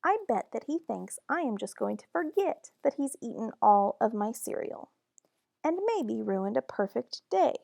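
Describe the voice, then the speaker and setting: A woman reads a children's story aloud at a very unhurried pace. Her voice sits fairly high and carries strong creak. The delivery is deliberate and expressive, recorded close and dry. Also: no room sound. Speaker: storybook reader. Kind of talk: children's picture book read-aloud.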